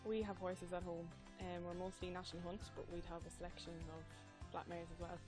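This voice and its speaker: A woman's voice: fairly low pitch, flat delivery, fairly quick. A young woman, a trainee at a horse stud, talking in a friendly, matter-of-fact way. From Ireland. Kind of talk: casual interview answers. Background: clear background music.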